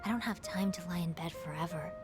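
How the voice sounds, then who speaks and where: A woman's voice: lowish voice, fast talker, lightly acted. An actress playing an aloof, prickly yet dreamy teenage girl in a video game drama, blunt and guarded. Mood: indignant, determined. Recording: music over the voice.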